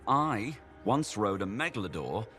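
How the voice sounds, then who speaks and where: A man's voice: fairly low pitch, sing-song, a little slow, pushed and bright, faint music behind, exaggerated voice. An actor playing a playful, joking male traveler in a video game cutscene. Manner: joking, teasing, theatrical.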